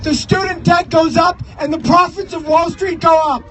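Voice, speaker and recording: A man giving an impassioned, declamatory political speech as an activist, shouting to a crowd outdoors. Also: very high-pitched, steady tone, normal speed. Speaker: activist rally speaker. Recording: outdoor live recording with crowd and music.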